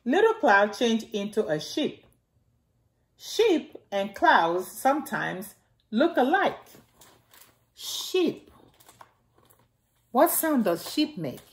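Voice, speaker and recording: A middle-aged woman hosting a storytime, reading aloud to small children in simple, deliberate phrases and repeating key words. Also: fairly high pitch, big pitch swings. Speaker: storytime host reading a picture book to young children. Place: indoors in a home, beside a staircase.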